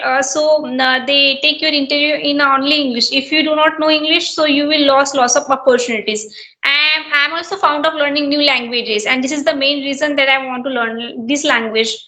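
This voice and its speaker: Woman, high bright voice, polite and encouraging, lots of uh's, video call. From Maharashtra, India. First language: Marathi. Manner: polite, encouraging, many fillers. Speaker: host, English learner. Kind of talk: casual conversation practice over video call. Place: small village home.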